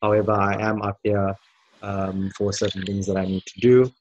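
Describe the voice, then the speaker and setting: A South African man giving an online business presentation in a measured, deliberate way. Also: on the low side, monotone, lo-fi sound. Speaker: guest presenter. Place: indoor room, on a video call.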